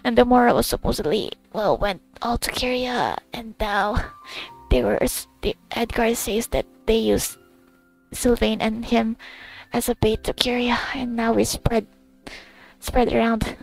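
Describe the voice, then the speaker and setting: A woman gamer giving a casual, halting, rambling recap over her gameplay. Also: on the high side, creaky, soft background music, low-quality recording. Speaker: gamer recording her playthrough.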